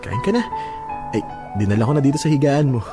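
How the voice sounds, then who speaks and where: A man playing a devoted, affectionate young husband in a Filipino radio drama, speaking in a gentle, reassuring way. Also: mid-range voice, lively intonation, fast, natural voice. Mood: playful, caring. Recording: faint music behind the voice.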